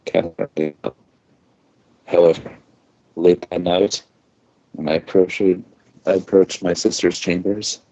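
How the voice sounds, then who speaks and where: Low soft-toned guy reading a story out loud, muffled lo-fi mic, slips on words a bit. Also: steady tone, slow pace, pauses often. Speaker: amateur fan fiction reader. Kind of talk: scripted narration read aloud in first person. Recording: dull lo-fi microphone.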